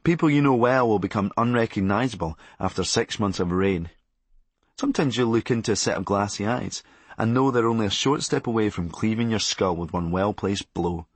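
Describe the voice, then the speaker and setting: A man's voice: lowish voice, brisk, slightly rough, no room sound. A Scottish man narrating a comic memoir in a sardonic, darkly comic and blunt manner. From Scotland. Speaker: comedian narrating a memoir audiobook.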